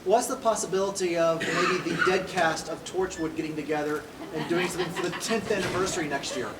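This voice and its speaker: A man's voice: a little high, brisk. A man in the audience putting an unscripted question to a panel guest. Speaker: audience member asking a question. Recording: echoey noisy hall, distant mic.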